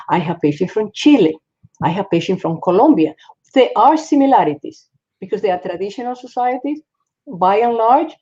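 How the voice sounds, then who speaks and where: A woman from the Republic, speaking as a psychologist guest in a panel discussion, halting, with many fillers and restarts. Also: lowish voice, warm tone. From Dominican Republic. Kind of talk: panel discussion on a video call. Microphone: video call. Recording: dull lo-fi call audio.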